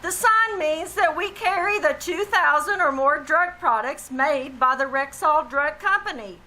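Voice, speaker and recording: A woman playing an earnest family druggist delivering a 1940s-style radio commercial in a measured, formal way. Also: high voice, lively intonation, bright, forceful tone, slight background noise, heavily acted. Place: live small theater stage.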